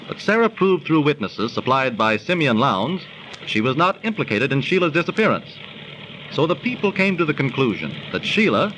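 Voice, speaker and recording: An actor playing an earnest storyteller who debunks superstition, in the manner of 1930s radio drama narration. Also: male voice, fairly high pitch, bright, forceful tone. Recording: noisy 1930s radio recording.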